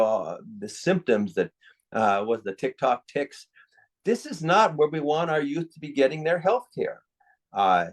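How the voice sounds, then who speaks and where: A man gives his expert view in a conversational interview, as a psychiatrist would. He is candid and opinionated, leaning on the words that matter. His voice sits at a medium pitch.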